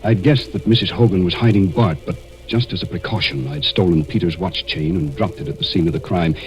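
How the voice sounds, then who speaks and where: An American actor playing a cold, scheming murderer who narrates deliberately and suspensefully in a 1940s radio mystery. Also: male voice, low voice, natural voice, rough recording. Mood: calculating. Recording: vintage 1940s radio recording, music behind.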